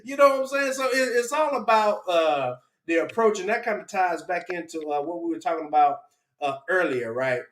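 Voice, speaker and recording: A man's voice: high voice, expressive, fairly quick, a bit bright. Man hosting a chat in an echoey room. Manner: jokey, self-deprecating, chatty. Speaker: live stream host. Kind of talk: casual livestream chat. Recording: roomy, echoing livestream audio.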